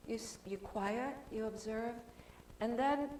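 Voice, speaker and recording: A soft-spoken woman giving a chatty, informal talk as a guest speaker at a church service, with plenty of restarts. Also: on the high side, a little slow. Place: church sanctuary. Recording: echoey hall with faint room noise.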